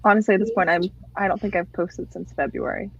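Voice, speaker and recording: A woman's voice: on the low side, quick pace, gravelly, husky. A woman chatting casually on a live group video call. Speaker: booktuber on a live readalong panel. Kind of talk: casual chat. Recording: dry, dull lo-fi call audio.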